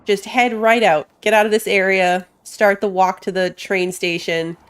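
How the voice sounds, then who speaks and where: A woman streams a game with casual, chatty commentary. Her voice sits at a medium pitch for a woman. She thinks aloud as she plays, talking informally to those watching. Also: steady tone, a little slow, clear, resonant tone. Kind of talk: casual live gameplay commentary.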